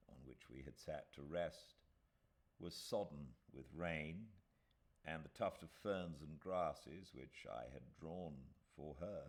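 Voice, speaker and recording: A British man reading a classic novel aloud as an audiobook narrator, with measured, expressive phrasing. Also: very deep voice. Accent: British English. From Britain.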